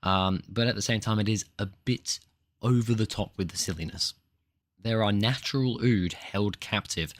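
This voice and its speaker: A man reviews an episode in a loose, rambling way, his fairly low voice still croaky from a cold he is getting over. He talks close to the mic in a dry, deadened room, stumbling through restarts and fillers as he goes. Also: moderate pace, dry recording. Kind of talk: casual spoken review.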